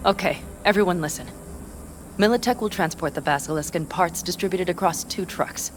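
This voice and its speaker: A determined young nomad leader acted by a woman in a video game. She is blunt and brisk, with a slightly low voice. Faint outdoor noise sits behind her. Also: natural voice. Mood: commanding.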